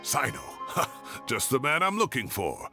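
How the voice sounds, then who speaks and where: A man voicing an ageing, wry and sentimental professor with a drawn-out, self-deprecating manner in a video game. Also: mid-range voice, very animated, music in the background. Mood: delighted, nostalgic. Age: older. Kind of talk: animated video game voice acting.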